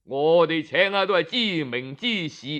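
A man's voice: a little high, animated, normal speed, a bit bright, dull audio. A man with a Cantonese accent voicing a haughty, bullying male gatekeeper in an oral story, scolding brusquely. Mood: scornful, barking. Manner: brusque, scolding, barking dismissals. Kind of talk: acted quote in oral storytelling.

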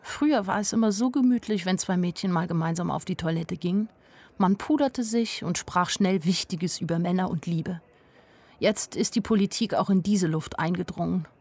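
A woman's voice: lowish voice, normal speed, a little mellow. An actress playing a naive but observant young woman who tells her own story in a wry, plain-spoken radio drama narration. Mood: confiding, wry.

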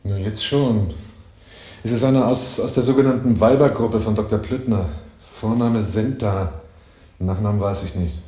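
An actor playing a defensive, shaken man under police questioning in a 1980s radio drama, halting and then stiffly precise. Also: lowish voice, warm tone, close to an everyday voice. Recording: roomy sound with clear hiss.

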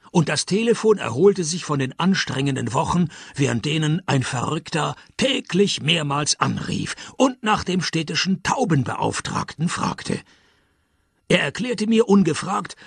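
An actor playing a dry, sardonic private detective in a radio play, deadpan and wry as he narrates and talks. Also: a little high, vocal fry, husky, dry recording, heavily acted.

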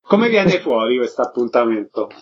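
A man's voice: mid-range voice, very animated, moderate pace, husky. A man presenting on radio as host and interviewer. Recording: dull, lo-fi audio.

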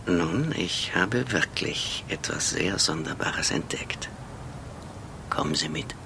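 An actor in a radio drama playing an eccentric, feverishly earnest gentleman detective, theatrical and deliberate in delivery. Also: low voice, big pitch swings, creaky, whispered, full character voice. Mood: stubborn, mysterious.

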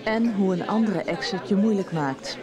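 An actress playing a playful, curious therapist out with a friend in a radio soap, teasing and inquisitive. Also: female voice, mid-range voice, normal speed, natural voice. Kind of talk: Dutch radio soap acting. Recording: music and crowd behind the voice.

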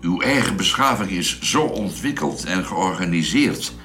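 Curious space traveller, very low voice, polite, hesitant phrasing, science-fiction radio play. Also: male voice, lively intonation, a little slow, pushed and bright, a little hiss or hum, heavily acted. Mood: curious, probing. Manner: hesitant, searching for words. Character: curious, polite male space traveller questioning his hosts.

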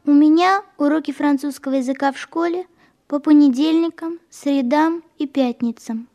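A woman playing a polite, eager young schoolgirl in a scripted bilingual radio language lesson, speaking carefully and clearly. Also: high-pitched, normal speed, dark tone. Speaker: pupil character in language programme.

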